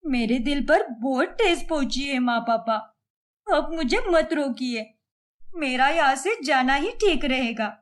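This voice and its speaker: A woman's voice: sits high, expressive, unhurried. A woman voicing a young attention-seeking sister-in-law in a cartoon story, whiny and wounded.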